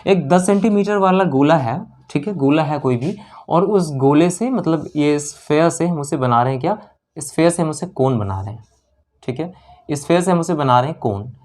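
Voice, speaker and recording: A man's voice: a little high, expressive, moderate pace, darkish tone. A man with a North Indian accent teaches maths step by step, repeating key phrases and often checking in with short questions. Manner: instructive, repetitive, with frequent check-in questions. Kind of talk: lesson explained while solving problems.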